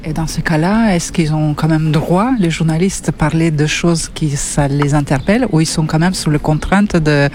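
Guy interviewer, a bit high voice, restarts his questions midway. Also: a little mellow, slight background noise. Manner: halting, rephrasing questions mid-sentence.

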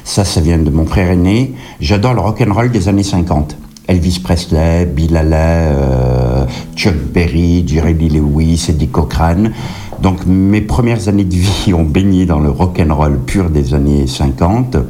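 Deep mellow-voiced man, hesitant storyteller. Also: unhurried. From Kabylie, Algeria. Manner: hesitant, repeats words and restarts. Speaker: singer-songwriter guest. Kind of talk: relaxed interview answers, anecdotal.

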